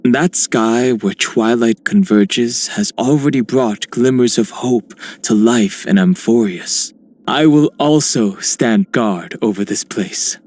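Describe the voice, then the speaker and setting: A measured, courteous hero. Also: male voice, mid-range voice, darkish tone, no room sound. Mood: solemn. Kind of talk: scripted game character lines.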